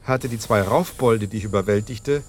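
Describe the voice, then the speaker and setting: A man acting in a German radio play as an analytical, dryly ironic detective who speaks in measured, formal, condescending phrases. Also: on the low side, lightly acted.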